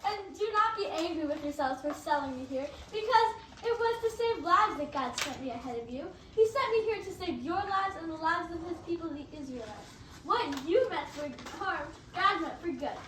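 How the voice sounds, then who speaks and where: A woman's voice: extremely high pitch, expressive, normal speed. Stern, emotional male ruler of Egypt played by a woman, amateur stage reading, distant noisy recording. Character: stern, then tearful Joseph, ruler of Egypt. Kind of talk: amateur readers' theatre. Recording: distant echoey room, noisy.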